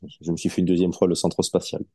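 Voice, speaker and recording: A man in his forties, a podcast guest chatting casually, relaxed and hesitant with many fillers and restarts. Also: deep voice, fast, slightly rough, dry recording. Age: 40s. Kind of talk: casual interview chat.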